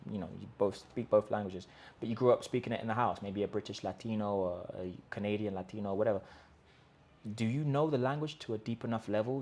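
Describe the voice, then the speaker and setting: A man hosting a language-learning channel, speaking straight to his viewers in an emphatic pitch full of rhetorical questions and quick restarts. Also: darkish tone, breathy edge. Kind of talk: direct-to-camera pitch talk. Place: in Colombia.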